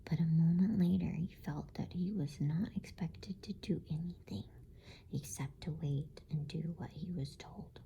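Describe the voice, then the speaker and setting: A woman reading a bedtime story in ASMR style, in a soft, hushed, breathy whisper. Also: low voice, slight background noise. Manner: slow, gentle, hushed.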